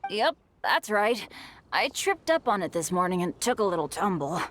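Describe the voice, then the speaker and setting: A woman's voice: mid-range voice, sing-song, moderate pace, a bit bright, a little hiss or hum. A woman playing a cheerful, accident-prone teenage boy adventurer in a video game, with a youthful boyish voice and an eager, sheepish manner. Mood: sheepishly cheerful. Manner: eager, sheepish, good-natured. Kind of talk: video game cutscene acting.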